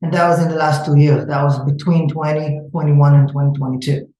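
A man with an Israeli accent answers questions about his startup, pausing often on "uh" and "um" and restarting his sentences. His voice sounds dark and mellow, and it rings in a very echoey room. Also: fairly high pitch, level tone. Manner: hesitant, many fillers and restarts. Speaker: startup founder. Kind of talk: interview answers.

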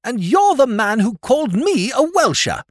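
A man voicing an excitable young English aristocrat who talks in gushing exclamations, in a dry recording. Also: extremely high pitch, normal speed, no echo, pitched above the performer's own voice, heavily acted. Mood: excited. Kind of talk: audiobook character voice.

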